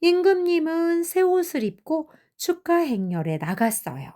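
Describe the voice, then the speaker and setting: An older woman tells fairy tales to children. Her voice is high and moves up and down expressively, at a slow, gentle storyteller's pace. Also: very animated.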